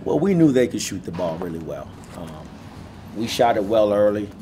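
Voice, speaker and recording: A middle-aged man, a basketball head coach, answering reporters at a postgame press conference in a measured way, often restarting his phrases. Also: fairly low pitch, big pitch swings, noisy background. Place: small indoor media room. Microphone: handheld recorders held up by reporters.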